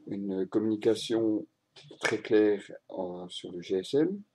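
A man's voice: on the low side, slow pace, a little mellow. A man narrating a motorcycle road test as a voice-over, in a matter-of-fact way. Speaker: motorcycle reviewer.

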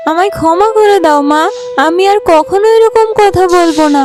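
A woman plays a gentle village girl in a fairy-tale cartoon. Her voice is very high, devoted and earnest, over background music. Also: moderate pace. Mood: tender. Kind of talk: animated fairy tale voice acting.

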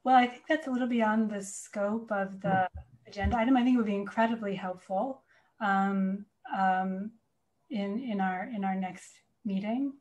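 Board chair, female, medium pitch, measured and tactful, on a video call. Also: darkish tone, low-quality recording. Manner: measured, deferential, hedging. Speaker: board chair running a meeting. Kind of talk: formal meeting discussion.

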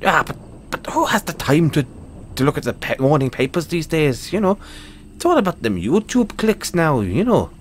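A man's voice: a little high, sing-song, normal speed, a little hiss or hum. A man with a British accent playing a cocky, foul-mouthed, stammering Dullahan social media wannabe in a comedic audio roleplay. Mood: boastful. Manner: stammering, sweary, boastful.

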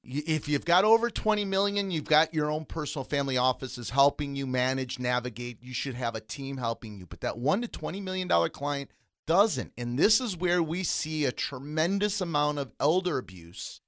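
A man talks up retirement planning in an emphatic, persuasive way. His voice sits a little high for a man, and the studio sound is dry and close. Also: normal speed, fairly bright tone, dry recording. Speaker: co-host, financial instructor. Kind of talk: radio show talk.

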